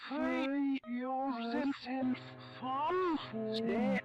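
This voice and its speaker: A performer voicing an artificially created angel in an analog horror animation, eerie and otherworldly, with halting, drawn-out words. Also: high voice, highs cut off, music in the background. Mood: eerie, ominous.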